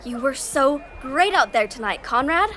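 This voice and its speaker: A bright-eyed teenage girl in an audio drama, hooked on history and pushing her theories eagerly. Her voice sits high, with faint music beneath. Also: normal speed. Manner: excited and insistent.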